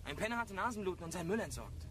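A man's voice: sits high, very animated, fast talker. A young man playing a brash, callous teenage tough in a crime radio play, with blunt, slangy talk. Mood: dismissive. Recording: loud background noise, outdoor ambience.

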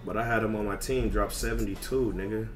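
A man's voice: lowish voice, fairly even intonation, a little fast. A man chatting casually on a livestream as a video game streamer, ranting in profane, repetitive slang. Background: music.

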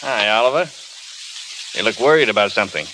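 An actor playing a charming, flippant, hard-drinking film star in a wry, teasing, theatrical 1930s radio drama style. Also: male voice, on the low side, expressive, a little fast, lightly acted. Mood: wry, resigned. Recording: hissy, crackly old radio broadcast.

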